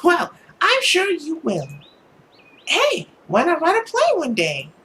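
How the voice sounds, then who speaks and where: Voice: extremely high pitch, huge pitch swings, slow, pushed and bright, slight background noise, pitched above the performer's own voice, heavily acted. A narrator voicing an exuberant, dreamy young lady in a visual novel, grandiose and playful. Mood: excited, grand.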